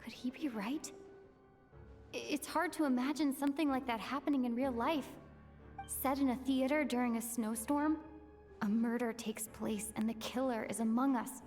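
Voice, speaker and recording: An actress voices a young heroine quietly working through her doubts in a game story. Her fairly high female voice is hesitant and reflective, carried on a long reverb with music underneath. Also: normal speed, close to an everyday voice. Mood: pensive, doubtful. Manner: hesitant, reflective inner monologue. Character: thoughtful, uncertain young heroine weighing suspicions. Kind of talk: video game voice acting.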